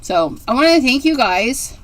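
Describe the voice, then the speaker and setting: Slow, chatty woman in her forties. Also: high voice, sing-song, fairly bright tone. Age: 40s. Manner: chatty, rambling, gushing over cute things. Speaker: card-making livestream host. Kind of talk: casual livestream craft demo.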